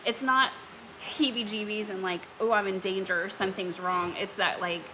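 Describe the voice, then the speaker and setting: A woman's voice: mid-range voice, fairly even intonation, moderate pace. A woman hosting a casual interview, chiming in with encouraging replies. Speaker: podcast host. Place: bakery during a birthday event. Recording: noisy live event.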